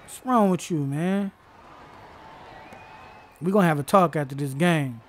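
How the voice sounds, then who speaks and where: Cocky gamer, slightly high voice. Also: male voice, measured pace, slight background noise. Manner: cocky, repetitive short exclamations. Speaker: gaming video host, player. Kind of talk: casual gameplay reactions, slangy trash talk. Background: basketball game sounds.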